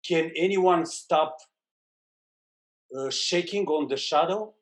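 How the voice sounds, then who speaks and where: Romanian-accented man reading slowly. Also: on the high side. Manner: reads aloud haltingly, with pauses. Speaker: satsang participant.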